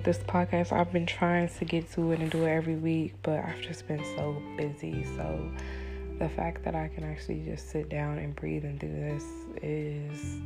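A woman hosts her own podcast in a hushed, deep, slow voice, keeping it low so the baby stays asleep. She talks candidly and casually, in an even tone with little rise and fall. Plucked guitar music plays clearly underneath. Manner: talking low and soft so as not to wake a baby; relaxed, candid, with fillers and some swearing. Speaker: podcast host. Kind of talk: casual solo podcast monologue.

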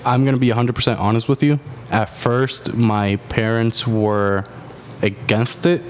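A man in his twenties, a guest on a podcast, speaking in a plain, earnest and measured way. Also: mid-range voice, steady tone, a little hiss or hum. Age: 20s. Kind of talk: casual interview chat.

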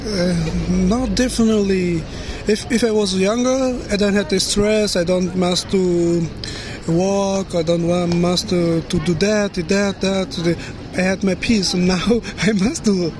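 A man's voice: sits high, steady tone. A young man from Afghanistan, a student, answering questions in hesitant, outdoors. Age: young adult. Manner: halting non-native English, hesitant. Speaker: student interviewee. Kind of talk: casual chat. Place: outdoors near a busy square. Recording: outdoor street noise.

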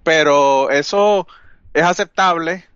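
Bright-voiced Puerto Rican man, slightly muffled. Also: fairly high pitch, slow pace, clear, resonant tone, no room sound. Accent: Puerto Rican Spanish. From Puerto Rico. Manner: profane, slangy, self-deprecating. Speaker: podcaster. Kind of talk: casual chat, joking and frank.

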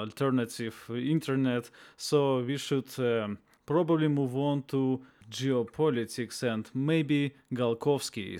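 Halting podcast host with a clear voice. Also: male voice, mid-range voice. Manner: halting, frequent "uh" pauses. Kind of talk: interview conversation.

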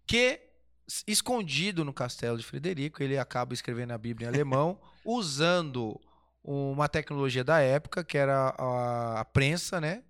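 A man's voice: mid-range voice, lively intonation, normal speed, fairly bright tone, clear, resonant tone. A man telling history, rambling and restarting, asking himself rhetorical questions. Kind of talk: casual history chat.

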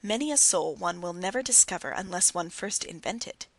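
A woman reading the part of a calm male prophet in an audiobook, measured and solemn. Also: fairly low pitch, vocal fry, no echo. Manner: measured, solemn archaic speech.

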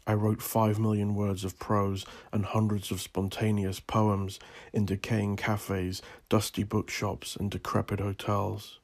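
Male narrator, low and gravel-edged, theatrical yet restrained in inflection, for solemn, deliberate scripted storytelling. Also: completely flat delivery, darkish tone, slight background noise. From Northern Ireland. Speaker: author narrating own story.

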